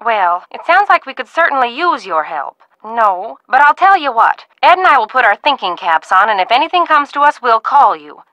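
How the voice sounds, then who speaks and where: A woman acting a kindly, fretful middle-aged ranch-owner aunt in a video game, talking in a folksy, motherly, expressive way. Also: on the high side, normal speed, few pauses, no room sound, muffled recording.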